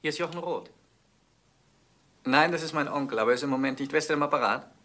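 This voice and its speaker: Sharp-voiced, puzzled young nephew. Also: male voice, fairly high pitch, fast talker. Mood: puzzled, urgent. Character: puzzled, hurried nephew answering the phone. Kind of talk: German crime radio play acting.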